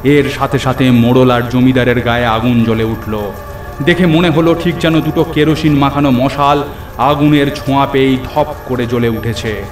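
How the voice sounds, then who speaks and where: A man's voice: medium pitch, steady tone, normal speed. A man narrating a scripted horror cartoon story in a dramatic storytelling style. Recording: echoey, clear background music. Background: music.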